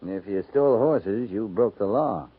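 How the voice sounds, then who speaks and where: Low-voiced army captain, dry wry drawl, firm, 1950s radio play, tinny sound. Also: male voice, animated, normal speed, husky. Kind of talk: 1950s radio drama acting.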